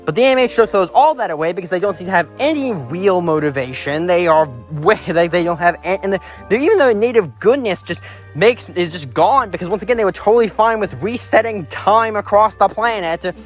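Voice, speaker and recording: A man's voice: high voice, fairly quick. A man giving casual, rambling opinion commentary as a video reviewer, in a critical, exasperated manner. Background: background music.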